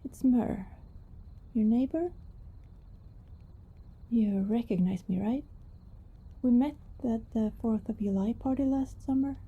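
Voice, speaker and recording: A woman playing a shy, secretive young vampire neighbor in an ASMR roleplay, speaking softly, hesitantly and apologetically. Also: on the high side, takes it slowly, long pauses, highs cut off, heavily acted. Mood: nervous pleading. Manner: hesitant, soft-spoken, apologetic, breathy.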